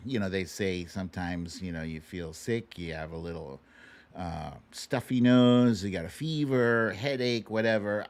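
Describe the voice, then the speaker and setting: A man's voice: lowish voice, a little mellow. A middle-aged man hosting a livestream show, chatting casually with viewers in a playful, sing-song way. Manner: playful, exclamatory, sing-song with silly catchphrases.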